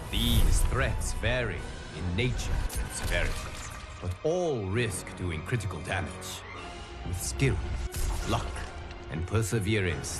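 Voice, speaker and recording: A man playing a solemn godlike guardian of timelines, narrating video game cutscenes in a formal, measured, dramatic way. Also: fairly low pitch, lightly acted. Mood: grave, resolute. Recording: loud cinematic music behind. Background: loud music.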